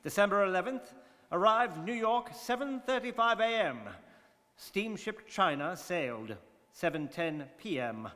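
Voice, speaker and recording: A man playing an imperturbable gentleman traveller in a radio drama, speaking in a clipped, formal, precise manner. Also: high voice, lively intonation, unhurried, exaggerated voice. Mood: dry, reporting. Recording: reverberant hall-like studio.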